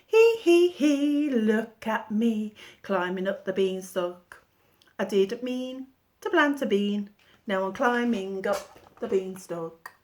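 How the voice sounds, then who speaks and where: A woman's voice: mid-range voice, lively intonation, takes it slowly, a little mellow. A woman reading a storybook aloud, voicing a silly, brave young boy who giggles and sings. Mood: sing-song. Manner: giggly sing-song.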